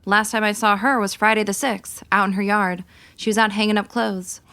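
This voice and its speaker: Gossipy 1950s American housewife. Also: female voice, medium pitch, steady tone, normal speed, pushed and bright. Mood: earnest, chatty. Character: chatty, gossipy 1950s housewife neighbor woman. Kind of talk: 1950s radio drama acting.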